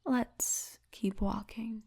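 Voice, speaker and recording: A woman's voice: fairly high pitch, fairly even intonation, extremely slow, creaky, dark tone, husky, heavily acted. A woman playing a poised, seductive orc tour guide in an ASMR fantasy roleplay, teasing and often half-whispering. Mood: caring.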